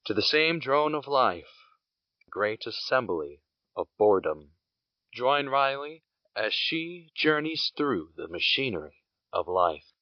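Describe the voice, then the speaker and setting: A man's voice: mid-range voice, very animated, slow, no echo. A man narrating an audio drama in a reflective, philosophical way. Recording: muffled lo-fi recording with loud guitar music behind.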